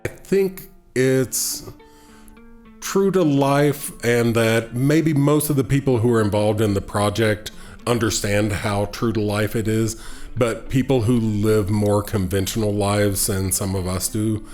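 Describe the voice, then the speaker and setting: Male interviewee, low voice, slow and thoughtful delivery, faint music underneath. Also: a little mellow. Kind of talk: reflective interview talk.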